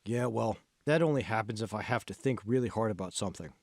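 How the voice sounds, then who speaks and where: A man's voice: lowish voice, quick pace, dry recording, clean studio sound, close to an everyday voice. A man voicing an anxious, reluctant male gym beginner in an audiobook, complaining and hesitant. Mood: defensive.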